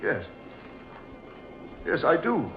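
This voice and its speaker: Awed seafarer, played by a man: bewildered, dramatic, widely swinging pitch, muffled radio-play sound over music. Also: huge pitch swings, heavily acted. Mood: awed, anxious. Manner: dramatic, halting, wondering. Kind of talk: old-time radio drama acting.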